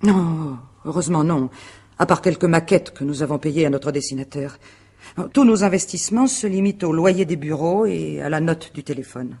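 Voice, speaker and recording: Husky, self-assured businesswoman wife. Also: low voice, normal speed, dark tone. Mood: guarded, dryly ironic. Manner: articulate, formal, self-assured, dryly ironic. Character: composed, proud wife and agency director under questioning. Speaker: actress in a radio mystery. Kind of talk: French radio mystery drama acting.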